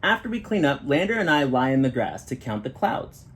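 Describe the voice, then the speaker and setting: A man reading a children's picture book aloud in an expressive storytelling style, with short explanatory asides. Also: medium pitch, fairly quick. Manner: expressive, acting out children's dialogue.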